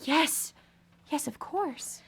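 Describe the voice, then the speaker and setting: An actress in a live radio drama playing an earnest, grateful creature with a measured, formal delivery. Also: female voice, sits high, expressive, creaky, a bit bright, echoey room, full character voice. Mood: hesitant, solemn. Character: formerly controlled creature, earnest woman, solemn and grateful.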